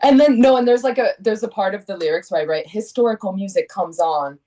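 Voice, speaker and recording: Mellow-voiced young woman chatting. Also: mid-range voice, quick pace. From Connecticut, USA. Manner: chatty, rambling restarts, laughs. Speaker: singer-songwriter guest. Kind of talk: casual interview chat.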